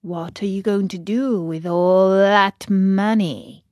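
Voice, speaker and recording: A sly, lame storybook fox, as a woman reader puts him on. He talks slowly and courteously, drawing out his words to win trust like a swindler. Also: medium pitch, steady tone, darkish tone, no echo, studio quality, close to an everyday voice. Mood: wheedling. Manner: slow, drawn-out, ingratiating.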